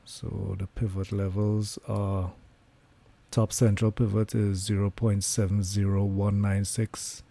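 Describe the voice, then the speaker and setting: Hoarse man commenting live trades. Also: on the low side, slow pace. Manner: relaxed, thinking aloud with many fillers. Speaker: trading livestreamer.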